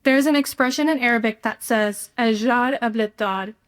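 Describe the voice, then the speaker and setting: A young American woman from Texas, a student speaker delivering a prepared speech in an earnest, deliberate way. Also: a little high, fairly bright tone, smooth. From Houston, Texas, United States. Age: young adult.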